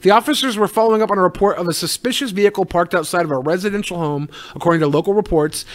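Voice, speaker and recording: A man's voice: sits high, racing, dry recording. A man hosting a podcast, casually reading news stories and riffing on them with irreverent, jokey swearing. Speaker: podcast host.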